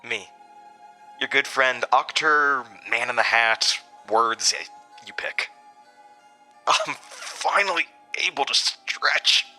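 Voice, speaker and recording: A man's voice: mid-range voice, animated, takes it slowly, bright, forceful tone, faint music behind, pitched above the performer's own voice, heavily acted. A man voicing a supernatural man in a hat in a horror audio drama, gloating, mocking and playfully showy.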